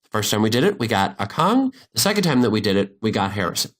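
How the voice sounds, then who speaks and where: Guy doing a software tutorial, pitch moves around a lot, informal explainer walking thru it step by step. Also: very animated, fast. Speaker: instructor.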